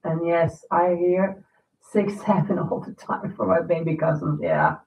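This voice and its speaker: A woman, chatting in a rambling way on a livestream, a little nasal and raspy from a cold. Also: on the low side, big pitch swings, a little slow, darkish tone, slight background noise. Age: 50s. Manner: chatty, rambling, reads viewer comments aloud. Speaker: crochet livestream host. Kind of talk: casual livestream chat. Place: home. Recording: distant, echoey, phone-like sound.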